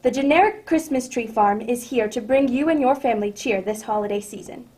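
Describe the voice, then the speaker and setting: A woman plays an affable announcer in an old-time radio drama. The voice sits fairly high, with chummy, polished patter, like an eager guest of the storyteller. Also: brisk, darkish tone, natural voice. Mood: salesmanlike cheer.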